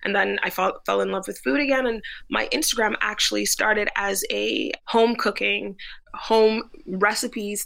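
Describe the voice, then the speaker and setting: Chatty young woman with a gravelly edge. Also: mid-range voice, fairly bright tone. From Bahamas. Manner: chatty, lots of "like" fillers. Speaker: guest, food photographer. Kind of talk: casual interview storytelling.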